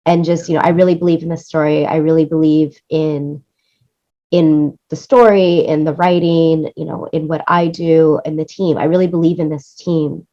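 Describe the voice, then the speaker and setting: Female interview guest, lowish voice, effusive and emphatic with repeated phrases, on slightly muffled lo-fi audio. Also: flat delivery, moderate pace, warm tone. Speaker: interview guest, music supervisor and producer. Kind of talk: enthusiastic interview conversation.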